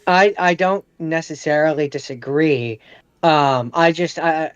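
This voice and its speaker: A man's voice: fairly high pitch, measured pace, a bit bright, clear, resonant tone, dry recording. A man co-hosting a podcast in casual film debate, hedging but politely persistent, on a dry, slightly dull recording. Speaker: podcast co-host.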